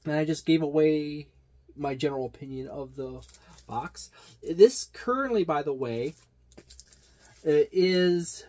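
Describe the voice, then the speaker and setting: A man giving a casual, unscripted product overview as a hobby reviewer, talking haltingly and wandering into side remarks. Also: fairly high pitch, a little mellow. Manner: halting, digressive delivery. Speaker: tabletop gaming reviewer.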